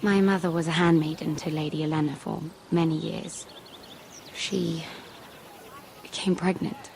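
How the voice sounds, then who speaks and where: An actress playing a genteel young handmaiden in a video game, confiding and somewhat hesitant. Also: female voice, low-pitched, steady tone, measured pace, gravelly, darkish tone, a little hiss or hum. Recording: faint music behind.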